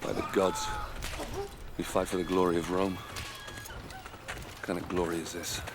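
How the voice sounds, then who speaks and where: An actor playing a stern, battle-hardened Roman soldier in a video game, speaking emphatically. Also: male voice, sits low, fairly even intonation, measured pace. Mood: indignant. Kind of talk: video game cutscene acting. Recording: loud battlefield ambience noise.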